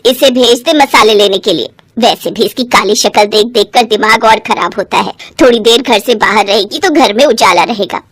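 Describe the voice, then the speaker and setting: A spiteful older mother-in-law from a storybook, put on by a woman narrator. She taunts and orders people about in a high, sharp-tongued way. The recording is dry and close. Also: moderate pace, few pauses, dry recording. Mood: scornful.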